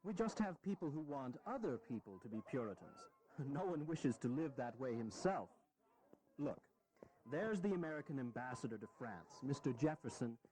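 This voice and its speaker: A man's voice: mid-range voice, animated, normal speed, dark tone, close to an everyday voice, rough recording. An actor playing an aging, self-deprecating American statesman in a historical audio drama, sardonic, blunt and earnest. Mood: wry, amused.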